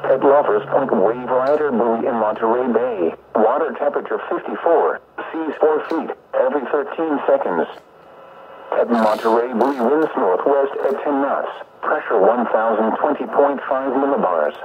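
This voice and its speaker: A man's voice: medium pitch, moderate pace. Synthetic male weather announcer, tinny radio sound. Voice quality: synthetic text-to-speech voice. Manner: formal, clipped item-by-item delivery. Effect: radio broadcast transmission. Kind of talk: automated scripted weather report reading. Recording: narrow-band, faintly noisy weather radio.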